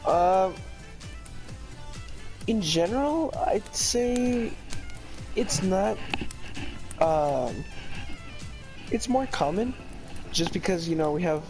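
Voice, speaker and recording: A young man from El Paso, answering interview questions in a relaxed, rambling way. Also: high-pitched, very slow, long pauses, over music, rough recording. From El Paso, Texas.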